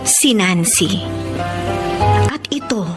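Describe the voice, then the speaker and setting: An actress playing a gentle, forgiving young sister-in-law in a Filipino radio drama, earnest and deliberate. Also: female voice, medium pitch, expressive, over loud music. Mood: calm, reflective.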